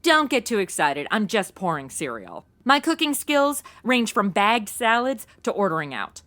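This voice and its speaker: A woman's voice: mid-range voice, very animated, moderate pace, a bit bright. A woman playing a flippant, ditzy modern young woman in a comedy audio drama, sarcastic and full of exclamations and slang.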